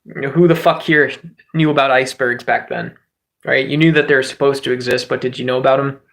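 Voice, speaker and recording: Rambling, gravelly-voiced streamer man. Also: fairly even intonation, a little fast, a bit bright. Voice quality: says his voice is high and squeaky at times. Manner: rambling, joking, greets viewers by name, swears freely. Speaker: livestream host. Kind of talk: casual livestream chat with viewers.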